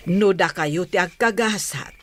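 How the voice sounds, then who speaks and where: A woman's voice: on the low side, a little slow, fairly bright tone. An actress with an Ilocano Filipino accent playing a caring, patient middle-aged mother giving counsel in a radio drama. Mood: gentle, advising. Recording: faintly noisy track.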